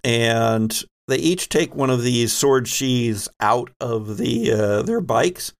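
A man's voice: mid-range voice, lively intonation, slow. A man narrating a tabletop roleplaying game as game master, speaking conversationally and descriptively with wry asides.

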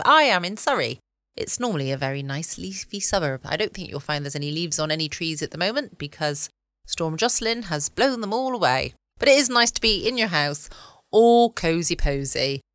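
An English woman chatting informally and cosily as the host of a relaxed bedtime podcast. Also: sits low, no room sound, clean studio sound. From United Kingdom. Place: at home, indoors.

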